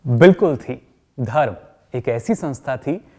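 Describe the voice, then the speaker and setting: A man's voice: mid-range voice, animated, slow, darkish tone. A man playing a patient, encouraging trainer who lectures and puts questions to a group in a scripted training film. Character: encouraging male trainer of company directors, patient and instructive. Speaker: trainer leading a workshop.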